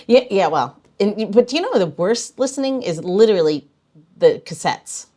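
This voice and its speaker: A woman's voice: mid-range voice, animated, moderate pace, mellow. A woman podcast host with a mid-range voice and an easy conversational delivery. She chats and jokes casually with her co-host, and has a habit of nudging the conversation back to the program. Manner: chatty, jokey, steers talk back on track.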